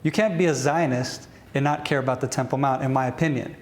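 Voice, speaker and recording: A man with an Israeli accent hosting an interview, whose speech is halting, with many restarts as he searches for words in English. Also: mid-range voice, roomy sound. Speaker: podcast host. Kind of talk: interview questions.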